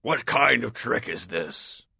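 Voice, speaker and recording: A man playing a big, brooding executioner in an audio drama, terse, suspicious and intense, with a rough, husky voice. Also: mid-range voice, expressive, slow, pushed and bright, dry recording, clean studio sound, full character voice. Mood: menacing.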